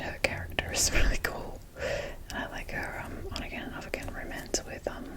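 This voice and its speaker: A woman doing casual, unscripted ASMR in a breathy whisper, chatting in a rambling, gushing way close to the mic. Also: poor audio. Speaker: ASMR video creator.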